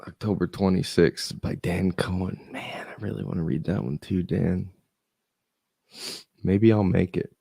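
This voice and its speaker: A man's voice: sits low, steady tone, measured pace, gravelly, dark tone, slightly rough, no echo, clean studio sound. A man hosting a casual stream, chatting loosely and thinking aloud while he is losing his voice. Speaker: host. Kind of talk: casual live-stream reading and chat.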